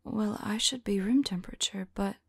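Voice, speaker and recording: A woman playing a tender, anxious centuries-old female vampire lover in an intimate, whispered ASMR audio roleplay, speaking softly and haltingly. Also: medium pitch, no echo. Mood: curious, puzzled. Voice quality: breathy, whispery. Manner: halting, soft, musing to a loved one.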